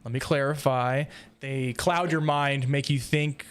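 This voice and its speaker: A man's voice: mid-range voice, steady tone, a little slow, fairly bright tone, smooth. A man hosting a casual roundtable podcast, explaining and steering the discussion in a conversational way. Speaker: podcast host leading the discussion.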